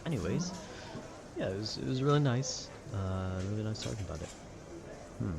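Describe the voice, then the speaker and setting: A man's voice: on the low side, lively intonation, normal speed, gravelly, noisy background. A man chatting casually in a laid-back, meandering, slangy way, as if rambling to a friend about video games. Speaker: audio roleplay creator.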